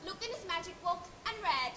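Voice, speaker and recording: A woman's voice: very high voice, expressive, a little fast, sharp tone. A teenage girl with a Thai accent narrating a scripted story dramatically on stage. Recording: echoey stage with faint noise.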